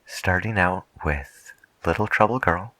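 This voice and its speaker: Deep, slow, soft-spoken male radio host. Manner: measured, reflective, hushed. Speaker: late night radio host character in an ASMR roleplay.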